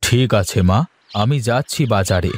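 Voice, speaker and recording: A man's voice: fairly low pitch, animated, moderate pace. A man playing a kindly, humble village father in a cartoon fairy tale. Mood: caring.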